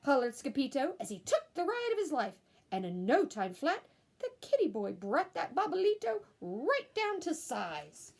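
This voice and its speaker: A woman teacher reading a picture book aloud to young children in a theatrical way, with hushed asides. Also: high-pitched, huge pitch swings, moderate pace, gravelly. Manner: theatrical, dropping into whispered asides to young listeners.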